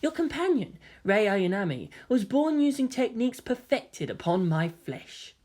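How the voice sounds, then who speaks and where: A woman voicing a gentle, elegant teenage boy in a story reading, soft-spoken, polite and earnest. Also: a little high, big pitch swings, a little slow, voice pushed up. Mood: solemn. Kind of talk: dramatic fan fiction character reading.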